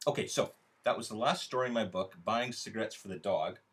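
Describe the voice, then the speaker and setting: A man's voice: fairly low pitch, brisk, a bit bright, husky. Male, mid-range voice. An author talking informally to his audience about his writing. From Canada. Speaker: writer promoting and reading own book. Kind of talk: informal spoken introduction and author reading.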